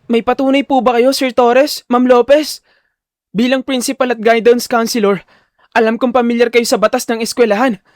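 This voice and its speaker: Man acting a male high school student, audio drama, high voice, defiant, determined, formal, dry sound. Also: little pitch movement, normal speed, dry recording. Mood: defiant, challenging. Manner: firm, formal, respectful but challenging.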